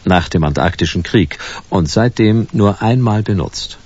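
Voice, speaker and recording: Hard-boiled private eye, low gravelly voice, laconic, dry, swinging intonation, radio noir narration. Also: male voice, animated, unhurried, a little mellow, lo-fi sound. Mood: laconic, brooding. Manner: laconic, dry, clipped sentences. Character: hard-boiled, stubborn male private detective narrating his case.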